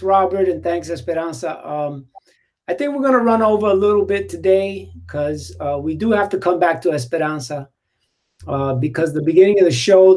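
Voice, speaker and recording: High-voiced man hosting a video call. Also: a little mellow. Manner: upbeat, joking while fixing tech trouble. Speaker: host of an online community show.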